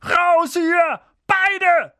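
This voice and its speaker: Bullying middle aged uncle for an audiobook, sneery and croaky, high strained pitch, very animated. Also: male voice, slow pace, dry recording, exaggerated voice. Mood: angry, barking. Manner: barking, curt, sneering.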